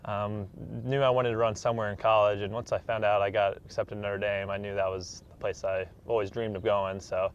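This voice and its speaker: A man's voice: lowish voice, flat delivery, brisk, few pauses. A man, answering casually as an athlete being interviewed. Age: 20s. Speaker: interview guest, professional track athlete. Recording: dull, slightly noisy room recording.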